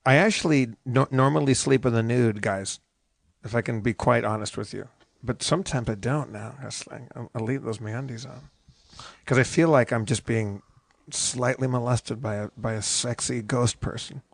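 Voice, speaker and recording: A man's voice: mid-range voice, moderate pace, creaky, dry recording. A man co-hosting a show, improvising his way playfully through a conversational comedic sponsor read. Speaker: show co-host reading sponsor ad.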